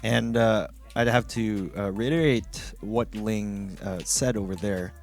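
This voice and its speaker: Low-voiced man co-casting esports over music. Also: a little slow, gravelly. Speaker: esports co-caster. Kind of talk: live esports commentary and analysis. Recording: music under the voice.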